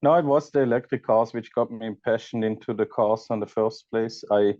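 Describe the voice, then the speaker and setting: A man from Austria with an Austrian accent, a podcast guest chatting casually and pausing to search for words. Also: fairly low pitch, darkish tone, no echo, dull audio. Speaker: guest, EV content creator. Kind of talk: casual interview chat.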